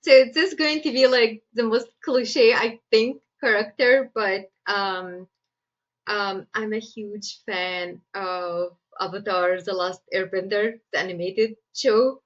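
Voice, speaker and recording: A woman's voice: mid-range voice, steady tone, slow pace, a bit bright, lo-fi sound. Chatty Egyptian-accented woman screenwriter. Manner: chatty, laughs often, lots of "like" fillers. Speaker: screenwriter guest. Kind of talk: casual interview answers.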